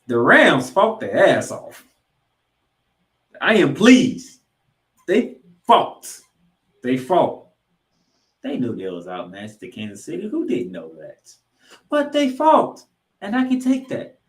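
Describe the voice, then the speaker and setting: A man hosting a sports podcast, giving football commentary in an emphatic way, with dramatic pauses and repeated phrases. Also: on the high side, wildly swooping pitch.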